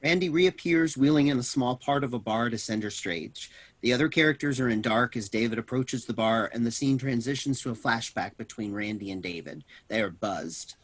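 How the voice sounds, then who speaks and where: Man narrating stage directions, mid-pitched. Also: brisk, a bit bright. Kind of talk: scripted narration.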